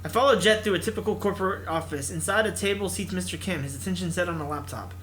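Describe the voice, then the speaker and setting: A man hosting a let's play, reading a visual novel aloud in an expressive narration. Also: high-pitched, fast talker, a little hiss or hum. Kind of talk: expressive read-aloud narration with casual asides.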